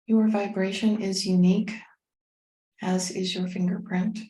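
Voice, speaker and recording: A woman leading a guided meditation as a teacher, speaking in a gentle, unhurried, soothing way with pauses. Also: medium pitch, flat delivery. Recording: roomy, echoing sound.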